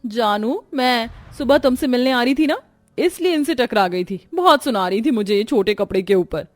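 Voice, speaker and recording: A woman's voice: a little high, lively intonation, normal speed, no room sound, faint music behind, natural voice. A woman voicing a brash young modern daughter-in-law in a moral-story cartoon, cheeky and defiant.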